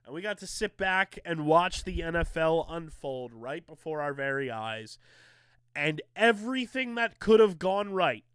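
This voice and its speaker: A man's voice: a little high, expressive, unhurried, fairly bright tone, clean tone, no room sound. A male podcast host from the area, sounding a little nasal from allergies, talking sports in an emphatic, hyped-up way.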